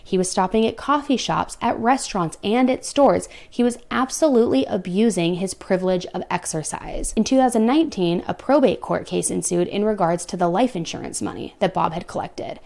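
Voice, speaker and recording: A woman's voice: medium pitch, brisk, vocal fry, few pauses, darkish tone. Blunt young woman telling a true crime case. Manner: blunt, exasperated asides to viewers. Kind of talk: true crime storytelling with commentary.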